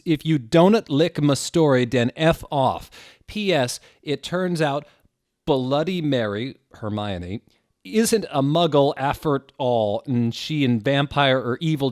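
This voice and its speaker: A man's voice: mid-range voice, expressive, takes it slowly, a little mellow, dry recording, studio quality, pitched above the performer's own voice. A man voicing a defiant, semi-literate teenage girl author with bratty, exaggerated mispronunciations in a comic reading.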